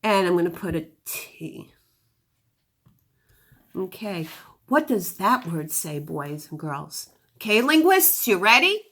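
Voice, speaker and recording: A woman teaching a phonics lesson to young children, giving deliberate instructions and sounding out words. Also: lowish voice, sing-song, fairly bright tone.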